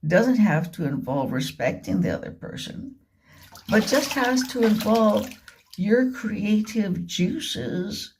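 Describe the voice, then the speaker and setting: Slow, halting woman in informal monologue. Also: medium pitch, animated, a little mellow, slight background noise. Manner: halting, repetitive, with deliberate emphasis. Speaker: vlogger. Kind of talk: rambling informal monologue retelling and commenting on a radio drama.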